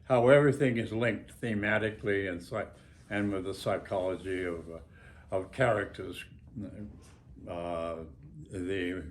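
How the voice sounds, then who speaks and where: An American man in his nineties, an author reading his own work aloud with a deliberate, halting delivery and pauses between short phrases. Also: sits low, fairly even intonation, a little hiss or hum. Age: 90s. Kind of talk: reading an author's note aloud to a small gathering.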